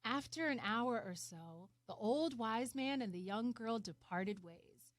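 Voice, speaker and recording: A woman reads a children's story aloud. Her voice is fairly high and her delivery is very slow, deliberate and expressive. The recording is dry. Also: dry recording. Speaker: storybook reader. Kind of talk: scripted children's story narration.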